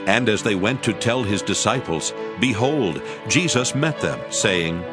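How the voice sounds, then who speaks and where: A man narrating a dramatized Bible reading in a solemn, measured storytelling manner. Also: lowish voice, lively intonation, over music.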